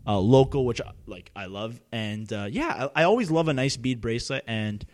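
A man's voice: medium pitch, expressive, a little fast. Laid-back young man co-hosting. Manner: laid-back, laughs often, trails off softly. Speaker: podcast co-host. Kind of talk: casual chat and ad read.

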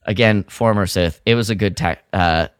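A man's voice: fairly low pitch, moderate pace, clean tone, no echo, clean studio sound. A man co-hosting a podcast, recapping a radio drama in casual chat, rambling with lots of fillers and restarts. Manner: rambling, full of fillers and restarts, quotes characters mockingly. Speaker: podcast co-host.

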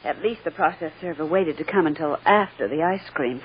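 An actress playing a loyal, sympathetic lawyer's assistant in an old radio drama, gentle and reassuring. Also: female voice, low voice, brisk, close to an everyday voice. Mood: warm, reassuring. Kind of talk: 1940s-50s radio drama acting. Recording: muffled, noisy old radio recording.

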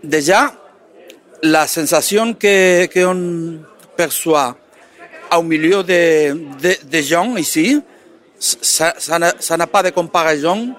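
A man's voice: a little high, takes it slowly, a bit bright. Man with a Valencian Spanish accent. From Valencia, Spain. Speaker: festival attendee.

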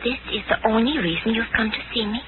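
An actress playing a coy, poised Chinese antiques dealer with formal, measured politeness in a 1940s radio drama. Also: female voice, fairly high pitch, natural voice. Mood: displeased, cool. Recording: noisy, tinny old radio recording.

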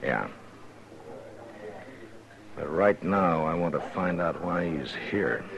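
An American actor playing a stern, weary frontier lawman in a 1950s radio western, plain-spoken and measured. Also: low-pitched, animated, vocal fry, highs cut off, a loud crowd, heavily acted. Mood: grim, reflective. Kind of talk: 1950s radio western acting, partly first-person narration.

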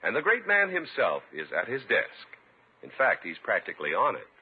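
A man's voice: mid-range voice, wildly swooping pitch, a little fast, slightly rough. A man announcing a 1950s radio show in a polished, emphatic scripted delivery. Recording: muffled narrowband 1950s radio recording.

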